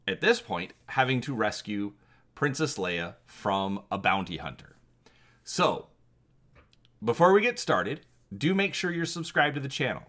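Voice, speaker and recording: A man's voice: medium pitch, fairly bright tone. A man hosting his channel, giving a dramatic reading of an adventure gamebook and inviting viewers to comment on choices.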